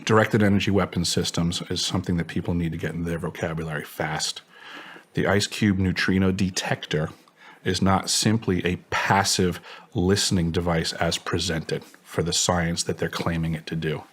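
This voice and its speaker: A man with a distinctly deep voice answers as an interview guest. He states things emphatically, in formal technical language, as if giving testimony. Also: steady tone, normal speed, breathy edge. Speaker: interview guest, former contractor.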